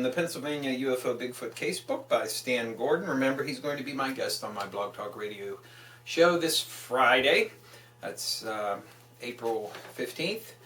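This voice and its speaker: A man's voice: mid-range voice, fairly bright tone. A man hosting his own online show, speaking in an emphatic, profane way. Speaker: online radio show host. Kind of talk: political rant and show announcements. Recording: roomy echo, distant from the mic.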